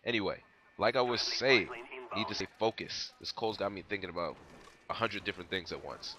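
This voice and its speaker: A man's voice: sits low, lively intonation, brisk, a crowd in the background. A young man with a stuffy cold, rambling casually through his gameplay commentary. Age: young adult. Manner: rambling, laid-back, many fillers.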